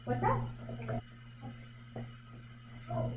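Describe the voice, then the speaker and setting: A woman artist leading a live painting demonstration, thinking aloud in a chatty, hesitant way with many fillers. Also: drawn-out words. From Vancouver Island, Canada. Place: art gallery. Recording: echoey room from far off, loud background noise.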